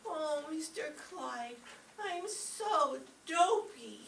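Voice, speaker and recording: A woman's voice: sits high, lively intonation, drawn-out words, vocal fry, a bit bright, exaggerated voice. A woman playing a naive, drowsy, dim-witted young secretary in an old-time radio comedy. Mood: sleepy, fretful. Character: ditzy, sleepy young female secretary, naive and earnest. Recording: roomy, distant stage recording with faint noise.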